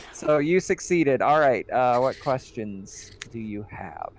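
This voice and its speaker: A man's voice: medium pitch, big pitch swings, slow pace, a little hiss or hum. Man running a tabletop horror game. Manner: describes scenes with fillers, reads out rules. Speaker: game master.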